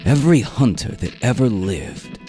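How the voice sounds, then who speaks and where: A man's voice: medium pitch, animated, normal speed, warm tone, faint music behind, pitched above the performer's own voice, close to an everyday voice. A man voicing a tense, eager big-game hunter in a radio drama. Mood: awed excitement. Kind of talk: radio drama character reading.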